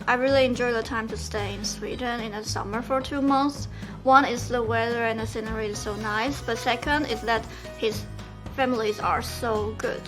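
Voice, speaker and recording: A young woman from Taiwan, teasing and chatting casually with her partner. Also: a little high. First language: Mandarin Chinese. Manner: teasing, switches freely between English and Mandarin. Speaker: vlog co-host. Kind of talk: casual couple chat.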